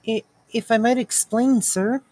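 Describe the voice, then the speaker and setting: A woman's voice: on the high side, steady tone, measured pace, a little mellow, pitched above the performer's own voice. A woman voicing a timid young boy apprentice in a storybook, hesitant and deferential. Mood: nervous, pleading.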